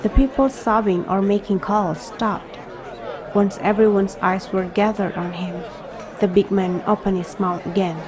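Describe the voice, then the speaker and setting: A woman's voice: medium pitch, steady tone, measured pace, crowd noise, lightly acted. A woman playing an introspective young reader, narrating his story in scripted first-person audio drama style. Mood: measured.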